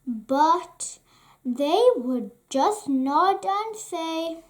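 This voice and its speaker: A children's story reader and channel host reading a bedtime picture book aloud in an expressive, deliberate way. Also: very high voice.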